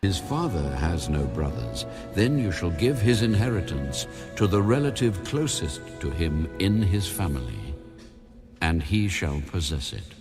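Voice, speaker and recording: A very deep, solemn voice of God, commanding and authoritative, with an expressive rise and fall. It delivers lines in an audio Bible drama over prominent music. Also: male voice, unhurried, heavily acted. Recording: music bed under the voice.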